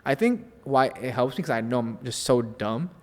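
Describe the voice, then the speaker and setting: A man's voice: medium pitch, animated, normal speed, a little mellow, big-room sound. A young man with an American accent, a podcast guest chatting casually in a laid-back, slangy, self-deprecating way. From Southern California. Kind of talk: casual chat.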